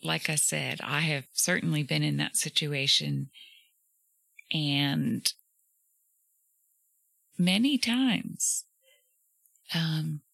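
Slow, gravelly low-voiced woman. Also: no echo. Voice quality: soothing voice. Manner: gentle, faith-tinged, reflective with fillers. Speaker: podcast host. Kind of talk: reflective solo podcast talk.

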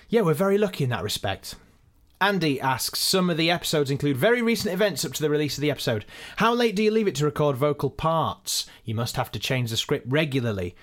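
A man, a podcast co-creator, answering listener questions in a casual, chatty, self-deprecating way. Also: medium pitch. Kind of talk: casual behind-the-scenes Q and A.

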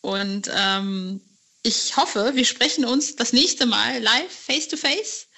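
A woman's voice: a little high, slow, gravelly, pushed and bright. A woman hosting a podcast, talking casually as an interviewer and presenter. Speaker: podcast host.

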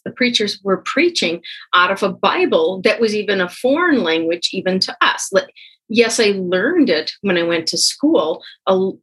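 A woman whose first language is Pennsylvania Dutch, answering interview questions hesitantly with many pauses and restarts. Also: medium pitch, fairly bright tone, husky, rough recording. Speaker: guest, co-author.

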